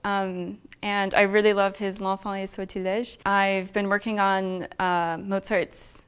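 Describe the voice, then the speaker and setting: A young woman from the United States talking casually in an interview, soft-spoken, with light laughs and thoughtful pauses. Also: mid-range voice, level tone, clean tone. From Fairfax, Virginia. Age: young adult. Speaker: young artist pianist.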